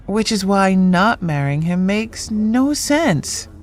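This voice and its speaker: A woman playing a wry, blunt writer in her late thirties with a gravelly voice in an audio drama. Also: medium pitch, sing-song, slow. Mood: exasperated, blunt. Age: late 30s. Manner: dry, blunt, quippy.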